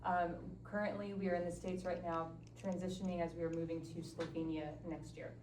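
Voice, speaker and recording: A woman's voice: on the low side, little pitch movement, fairly quick. A woman in her late twenties, a missionary guest on a panel, speaking in a thoughtful, earnest way. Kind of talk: reflective panel talk. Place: large classroom. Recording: echoey room, mic far off, faint noise.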